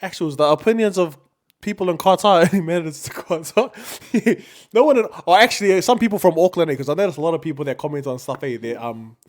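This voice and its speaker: A man's voice: fairly high pitch, animated, fast talker. A man with a New Zealand accent co-hosting a casual podcast, talking in a slangy, laid-back way and often repeating himself. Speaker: podcast co-host.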